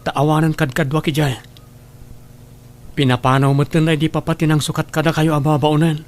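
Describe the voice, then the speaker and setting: Man acting a high-pitched, earnest grown son. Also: flat delivery, fairly quick, natural voice. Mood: earnest, reassuring. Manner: earnest, pleading. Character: earnest, distressed adult son, kind and helpful. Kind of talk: Ilocano audio drama acting.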